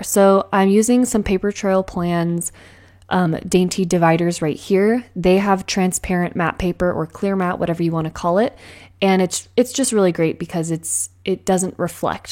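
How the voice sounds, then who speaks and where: Chatty lady, kinda raspy morning voice, lowish, rambly and giggly planner talk. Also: a little mellow. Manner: bubbly, rambling, frequent laughs and filler words. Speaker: planner vlogger. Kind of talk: casual chatty voice-over while decorating a planner. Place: at home with cats meowing.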